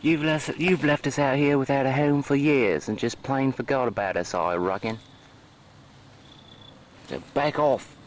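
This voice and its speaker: A man's voice: mid-range voice, measured pace, pauses often, close to an everyday voice. A man playing a bitter, defiant young outcast in an amateur audio drama, folksy and confrontational. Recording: faintly noisy old cassette recording.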